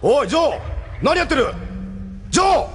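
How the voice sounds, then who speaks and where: An actor playing a protective rebel team captain in a Japanese sci-fi audio drama, with measured, gruff masculine speech. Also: male voice, extremely high pitch, big pitch swings, a bit bright, exaggerated voice. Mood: urgent shouting.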